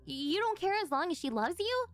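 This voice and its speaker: A woman's voice: extremely high pitch, very animated, normal speed. A woman voicing an exasperated, easily embarrassed teenage daughter in an anime-style audio roleplay, protesting emphatically. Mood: exasperated disbelief.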